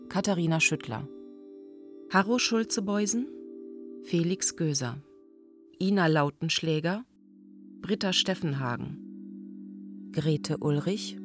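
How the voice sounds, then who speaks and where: A woman announcer reading scripted credits in a measured way. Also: lowish voice. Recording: music behind the voice.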